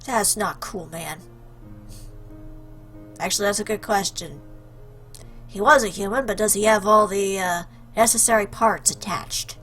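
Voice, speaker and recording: A woman's voice: medium pitch, measured pace, long pauses, fairly bright tone, soft background music. A woman giving casual, jokey commentary as she plays a game, reading choices aloud in a playful, theatrical, teasing way.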